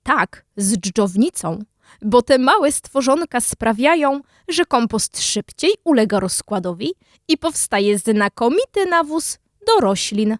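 A woman playing a calm, helpful fairy in a children's audio story, reassuring as she explains things. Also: fairly high pitch, slow, dry recording, clean studio sound. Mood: instructive.

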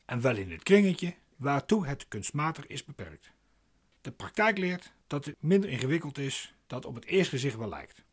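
Acteur als schorre, belerende uitlegger met grillige intonatie. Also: male voice, mid-range voice, sing-song, normal speed, breathy edge. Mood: persuasive, pedantic. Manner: didactic, insistent, emphatic. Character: male court painter, glib, pedantic explainer of legal procedure. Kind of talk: radio play acting.

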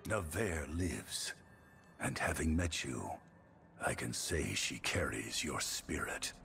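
Low, gravelly grim warrior hero. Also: male voice, animated, sharp tone, slight background noise, heavily acted. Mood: grave, urgent. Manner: grave, measured delivery.

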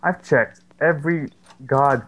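A man's voice: a little high, measured pace, a bit bright. A young man chatting casually and sarcastically over a co-op horror game, swearing often. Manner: swears a lot, jokey and sarcastic. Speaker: gaming channel host. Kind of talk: casual co-op gameplay chat.

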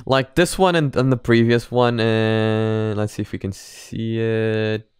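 A man's voice: on the low side, fairly even intonation, unhurried, clean tone, no echo. A man in his twenties chatting casually as a reaction channel host, repeating himself for emphasis, close to a microphone at home. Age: 20s. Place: small home room, indoors. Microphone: microphone on boom arm, close.

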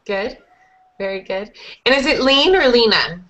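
A woman's voice: fairly high pitch, expressive, unhurried, fairly bright tone. A young woman teaching a conversational English lesson to a group online, in an encouraging way, on a headset. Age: young adult. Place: small indoor room, group video call. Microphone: headset boom mic. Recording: dull lo-fi video call headset audio.

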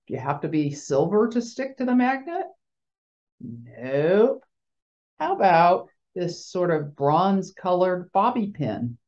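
Slow, gentle woman chaplain on webcam. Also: fairly low pitch, big pitch swings. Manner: gentle, playful, slow and clear like talking to children. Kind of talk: children's chapel talk with object lesson. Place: small office at a desk. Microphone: webcam video call. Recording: slightly dull lo-fi webcam audio.

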